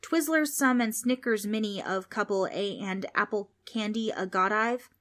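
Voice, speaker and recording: Nervous little boy, voiced by a woman narrator; high and hesitant, very polite. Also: normal speed, fairly bright tone, close to an everyday voice. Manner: timid, overly polite.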